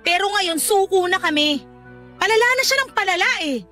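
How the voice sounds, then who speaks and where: A woman's voice: very high-pitched, lively intonation, brisk, pushed and bright, soft background music, heavily acted. A Filipino actress playing a jealous, fed-up girlfriend, blunt and accusing, in a Tagalog radio drama. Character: jealous, fed-up girlfriend sharing one man.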